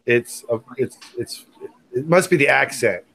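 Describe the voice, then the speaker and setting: A man's voice: mid-range voice, animated, a little slow, vocal fry, fairly bright tone, husky. A man with a West Coast accent chatting casually on a group video call, rambling and repeating himself. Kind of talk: casual chat.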